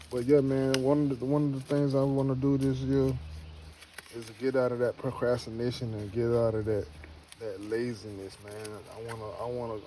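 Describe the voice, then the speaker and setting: Man in his thirties, casual vlogger, warm low-key tone, loose rambling delivery, faint noise behind. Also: rough recording. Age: 30s. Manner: rambling, repeats himself, slangy; earnest motivational pep talk. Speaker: homestead vlogger. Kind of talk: casual to-camera vlog.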